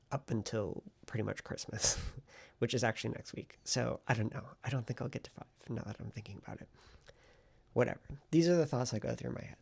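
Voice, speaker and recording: Male vlogger, heavy vocal fry and some rasp, informal and rambling, thinking aloud. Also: medium pitch. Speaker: vlogger and hobby novelist. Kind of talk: casual writing vlog chat.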